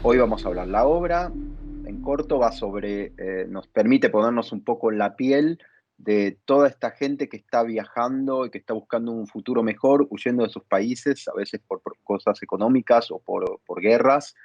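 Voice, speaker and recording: A man hosting an interview as a dramaturge, speaking haltingly with pauses as he phrases his thoughts. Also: medium pitch, darkish tone, no echo. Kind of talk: hosting an interview, partly reading from notes. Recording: dull lo-fi dry sound.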